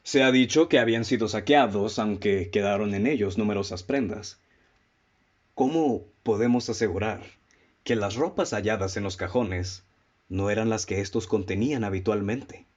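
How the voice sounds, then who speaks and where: An actor playing a calm, methodical male detective in a radio drama, measured and lecturing as he lays out his deductions and poses pointed questions. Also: lowish voice, dull audio, lightly acted. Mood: probing.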